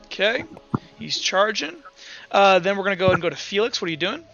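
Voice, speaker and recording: A man's voice: high-pitched, expressive, brisk, vocal fry, fairly bright tone, dull audio. A man running a tabletop roleplaying game, narrating off the cuff in an informal, thinking-aloud way. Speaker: game master. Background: background music.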